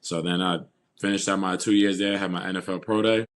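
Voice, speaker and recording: A young man in his twenties from New York, a football player, answering interview questions in a calm, steady way. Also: deep voice, a little fast. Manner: calm, steady storytelling with "uh" and "you know".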